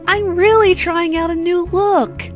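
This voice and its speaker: A woman's voice: extremely high pitch, steady tone, measured pace, pitched above the performer's own voice. A woman voicing a scheming young woman who feigns innocence in a parody story, glib and mock-innocent. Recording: background music under the voice.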